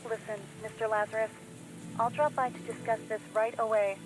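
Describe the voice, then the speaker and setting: A woman's voice: fairly high pitch, level tone, normal speed, background noise, natural voice. An actress playing an earnest, insistent woman journalist in a video game, polite but urgent and worried. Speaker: supporting character in a video game. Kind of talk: scripted game voice acting.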